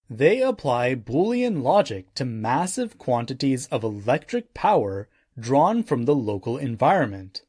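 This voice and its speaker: A man narrating a nonfiction audiobook in a measured, deliberate way, with emphatic phrasing. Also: mid-range voice, a little mellow, dry recording, studio quality.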